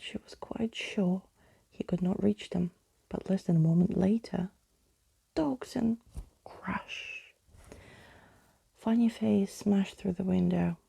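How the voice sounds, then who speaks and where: A woman reading a storybook in a soft, breathy ASMR whisper, hushed and soothing. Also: lowish voice, no room sound. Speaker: ASMR reader.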